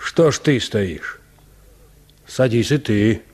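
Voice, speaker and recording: A man's voice: mid-range voice, expressive, slow, a little mellow, breathy edge. Aloof, self-satisfied peasant huntsman, swooping intonation. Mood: indifferent, gruff. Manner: nonchalant, condescending, folksy peasant speech. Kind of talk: Russian radio drama acting.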